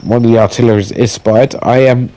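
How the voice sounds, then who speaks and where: A man from the UK doing a voiceover commentary on a tank game, his voice croaky and his nose blocked from illness, talking haltingly with many fillers and restarts. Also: mid-range voice, fairly even intonation, warm tone, low-quality recording. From United Kingdom. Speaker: gaming commentator.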